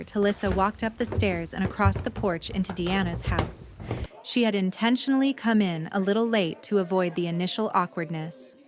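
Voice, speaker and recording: A woman's voice: medium pitch, a little hiss or hum. A woman reading scripted third-person story narration for an audio drama.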